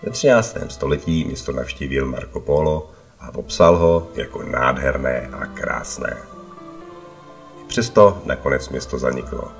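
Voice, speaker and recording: A man's voice: extremely low pitch, lively intonation, creaky, faint music behind. A man narrating a travel vlog from a prepared script, as a voice-over.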